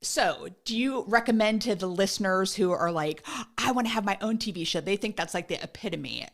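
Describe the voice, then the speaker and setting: A woman's voice: mid-range voice, fairly quick. A woman hosting a podcast interview, asking questions and giving brief encouraging replies over a video call. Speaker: podcast host. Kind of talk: conversational interview.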